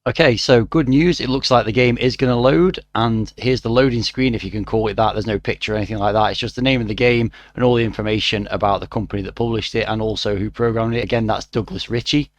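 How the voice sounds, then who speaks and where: A middle-aged man with a croaky voice, reviewing a retro game with dry, wry asides. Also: on the low side, fairly even intonation, fast, few pauses, no room sound. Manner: dry, mildly wry asides with frequent "uh" fillers. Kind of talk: relaxed spoken review.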